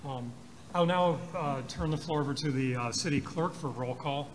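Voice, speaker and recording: A man's voice: a little high, moderate pace. Man, board chair, hesitant, lots of ums, city council chamber, noisy recording. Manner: hesitant, many ums and uhs. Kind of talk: formal meeting chairing. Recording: noisy public meeting audio.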